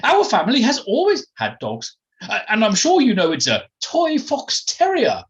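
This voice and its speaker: An author voicing a haughty upper-class English woman with a high-pitched, squawky voice and drawn-out, gushing delivery in a story reading. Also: wildly swooping pitch, highs cut off.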